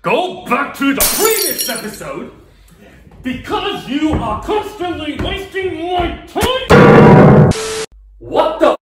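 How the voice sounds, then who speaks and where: A young man hosting a comedic music lecture at home, playful and exaggerated, swinging between shouting and mumbling. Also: very high-pitched, very animated, takes it slowly, pauses often. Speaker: music teacher and comedy host. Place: small room at home. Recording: echoey room, mic at a distance, music underneath.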